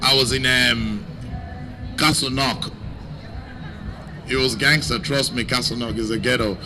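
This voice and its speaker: A young man from Nigeria, telling a comic personal story with animated, joking delivery. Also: medium pitch, a little slow, music in the background. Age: young adult. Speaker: youth speaker. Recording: background noise.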